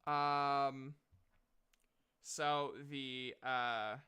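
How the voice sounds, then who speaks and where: A man's voice: mid-range voice, fairly even intonation, drawn-out words, pushed and bright, clean tone. A man narrating a tabletop role-playing game as game master, casual and hesitant, swearing now and then.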